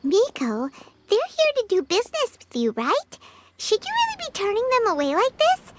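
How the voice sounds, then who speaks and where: A woman voicing a tiny, excitable fairy companion girl with a squeaky, childlike voice, blunt and curious, in a video game. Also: moderate pace, a little hiss or hum, full character voice. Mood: questioning. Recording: music playing behind the voice.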